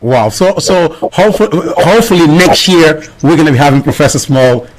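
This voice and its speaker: A man's voice: on the high side, expressive, a little fast, low-quality recording. A man hosting a live radio interview, eager and stumbling, often repeating words as he forms his questions. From Angola or Congo. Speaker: radio host.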